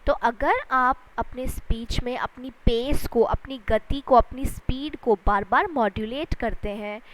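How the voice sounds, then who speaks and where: A woman lecturer with an Indian accent and a high-pitched voice. She explains step by step in a teacherly manner, restating each point and putting weight on the key words. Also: measured pace, rough recording. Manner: didactic, repeats and rephrases points, stresses key words. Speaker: English lecturer. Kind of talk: explanatory lesson switching between Hindi and English.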